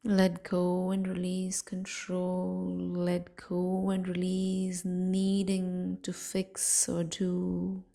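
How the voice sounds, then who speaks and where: A woman leading a guided sleep meditation in a hushed, soothing, softly breathy way, leaving long pauses. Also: fairly low pitch, monotone.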